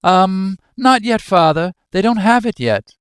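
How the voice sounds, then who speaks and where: Man playing a timid, high-pitched rich son. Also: expressive, unhurried, smooth, dry recording, clean studio sound. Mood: meek, nervous. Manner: hesitant, meek, polite. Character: timid, deferential grown son of a rich banker.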